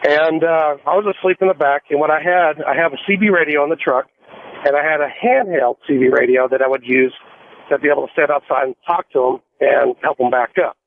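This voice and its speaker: Gravelly American male caller on tinny phone line. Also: on the high side, a little fast, a little hiss or hum. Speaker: radio show caller, truck driver. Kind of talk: casual rambling storytelling.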